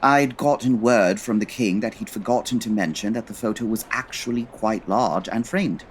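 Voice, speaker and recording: A woman playing a pompous, dryly impatient male Victorian detective with a husky voice and formal, precise diction, in a comedy audio drama. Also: extremely low pitch, normal speed, slight background noise. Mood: instructive, dry.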